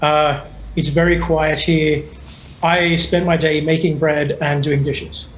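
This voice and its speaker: A man interviewed remotely for the news, speaking hesitantly with soft restarts. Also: on the high side, level tone, slow pace, poor audio. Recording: faint background noise.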